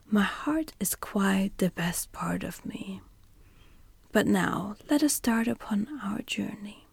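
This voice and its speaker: A woman voicing a gentle, kind-hearted man made of tin, in a whispered storybook reading. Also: medium pitch, expressive, slow pace, gravelly, husky, no room sound, exaggerated voice. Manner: whispered, pleading.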